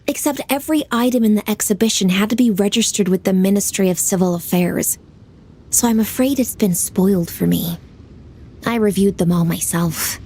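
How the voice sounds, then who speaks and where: A woman's voice: medium pitch, darkish tone, a little hiss or hum. A woman voicing a diligent young woman official in a video game, composed and formal. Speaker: government official.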